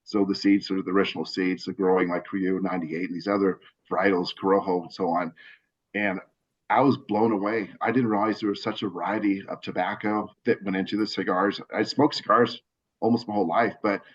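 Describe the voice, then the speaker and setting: A man with a lowish voice tells his story in a loose, rambling way, full of restarts and fillers. He is on a video call, and the sound is dull and lo-fi. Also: fairly even intonation, a little fast, husky. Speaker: cigar brand owner. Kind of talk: casual video call interview.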